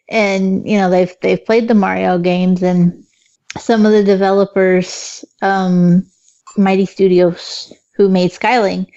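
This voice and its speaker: A middle-aged woman from Kentucky with a Southern accent chats on a podcast panel, laughing often and swearing easily. Her voice sits at a middle pitch. The sound is dull and lo-fi, like a remote call. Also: level tone, takes it slowly, warm tone. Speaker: podcast guest. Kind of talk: casual chat.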